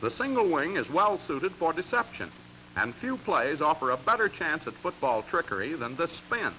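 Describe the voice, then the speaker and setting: A man's voice: fairly high pitch, very animated, moderate pace, pushed and bright, slight background noise, poor audio. An American man reading scripted narration for a 1940s sports training film in an emphatic, instructional way, on a vintage soundtrack. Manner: crisp, emphatic, instructional delivery.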